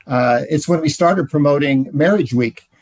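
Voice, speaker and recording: A middle-aged man giving a relaxed training talk, telling stories with frequent "uh"s. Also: a little high, normal speed, no echo. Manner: relaxed storytelling, many "uh"s. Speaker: ministry trainer.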